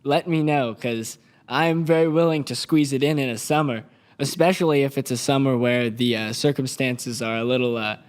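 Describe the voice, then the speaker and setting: A man's voice: mid-range voice, normal speed, clean tone. A man voice actor, a guest on a podcast, chatting in an easygoing, friendly way. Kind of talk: casual podcast chat.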